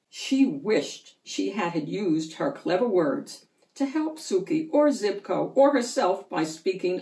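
A woman's voice: on the low side, a little mellow. Woman reading a picture book, roomy echo. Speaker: children's book reader. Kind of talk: expressive picture book read-aloud. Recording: roomy echo, distant microphone.

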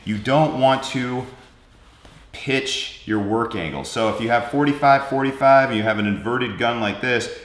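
Man, 40s, lowish voice, welding instructor, step-by-step, roomy shop echo, camera mic. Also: a bit bright. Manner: practical, direct, explains step by step to the viewer. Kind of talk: hands-on teaching demo. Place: large welding workshop indoors.

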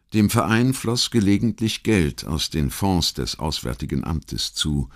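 A man reading a scripted history documentary as an audiobook narrator, in a measured, literary delivery with deliberate phrasing. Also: extremely low pitch.

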